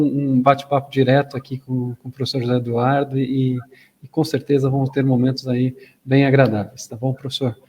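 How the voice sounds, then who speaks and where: A man's voice: mid-range voice, dark tone. A man hosting and moderating an online talk, informal and friendly, handing the floor to the guest speaker. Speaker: host, moderator. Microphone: video call.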